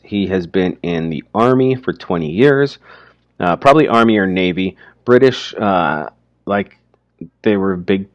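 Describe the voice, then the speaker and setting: A man teaching through informal, explanatory commentary on a story, speaking haltingly as he thinks aloud. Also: sits low, no room sound.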